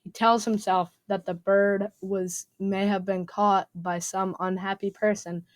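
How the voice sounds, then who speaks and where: A woman's voice: medium pitch, little pitch movement, unhurried. A woman reading a scripted story aloud. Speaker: student reader.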